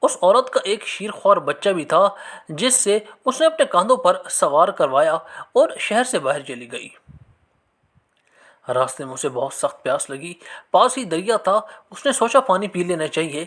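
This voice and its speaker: A man narrating scripted religious moral stories in an expressive, measured storytelling manner. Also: high-pitched.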